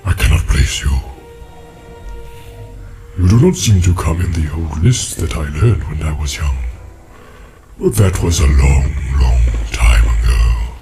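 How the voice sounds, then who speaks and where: A man voicing an ancient, ponderous male tree giant in an audiobook, cautious and deliberate, humming and musing between phrases. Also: very deep voice, animated, mellow, soft background music, heavily acted. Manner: slow, deliberate, drawn-out hums and musing repetitions. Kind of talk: audiobook character acting.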